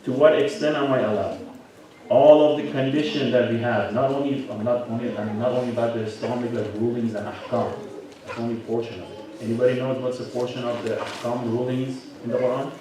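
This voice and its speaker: A man's voice: mid-range voice, fairly even intonation, a little fast, noisy background. Man preaching in a very echoey hall. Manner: conversational, questioning, frequent rhetorical questions and "right?" tags. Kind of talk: interactive sermon lecture to a congregation. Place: large echoing religious hall. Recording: very echoey hall, distant, audible congregation.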